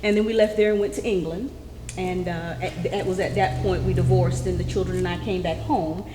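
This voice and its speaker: A woman, telling her life story in a warm, chatty way. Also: fairly low pitch, fairly even intonation, fairly quick, noisy background. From South Carolina, US. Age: 50s. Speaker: interviewee. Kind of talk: casual conversation. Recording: echoey room with long reverb.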